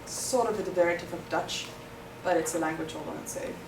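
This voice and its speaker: A woman's voice: fairly low pitch, steady tone, fairly quick, vocal fry. A young woman from South Africa whose first language is Afrikaans, giving thoughtful interview answers with many ums. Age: young adult. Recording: echoey room, far from the mic, noisy.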